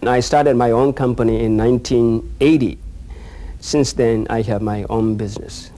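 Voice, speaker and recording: Older guy w japanese accent, warm low voice, stops and starts a lot. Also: steady tone. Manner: halting, searching for words. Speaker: interviewee, business owner.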